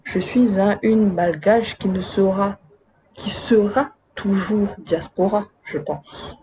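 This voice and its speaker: Woman talking to camera, warm mellow voice, chatty and wry, slangy asides. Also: mid-range voice, steady tone, slow, a little hiss or hum, low-quality recording. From Madagascar, raised in Côte d'Ivoire and France. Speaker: video essayist. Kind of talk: casual spoken commentary.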